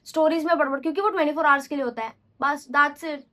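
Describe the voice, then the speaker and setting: A young woman with a high voice vlogs in a loose, unscripted way. She rambles and chats and often corrects herself mid-sentence. She mixes English phrases freely into her speech. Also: steady tone, quick pace, a bit bright. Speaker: vlogger. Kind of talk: casual unscripted vlog talk.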